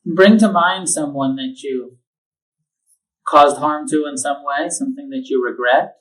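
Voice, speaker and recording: Slow, calm man guiding a meditation. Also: a little high, far from the mic, poor audio. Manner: slow, calm instructions with long pauses. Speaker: meditation teacher. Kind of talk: guided meditation.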